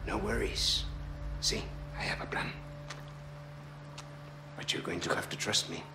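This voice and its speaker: An actor playing a sly, roguish researcher in a video game cutscene, teasing, cocky and evasive. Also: male voice, on the low side, fairly even intonation, a little slow, creaky, noisy background. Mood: brisk, scheming. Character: sly, roguish adult male researcher bargaining for survival.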